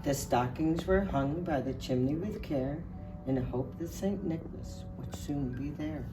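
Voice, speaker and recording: Woman acting as Santa's wife, low voice, expressive unhurried storybook reading, distant mic, music behind. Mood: gentle, hushed. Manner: unhurried, expressive, addressing listeners directly. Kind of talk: storybook reading aloud to children.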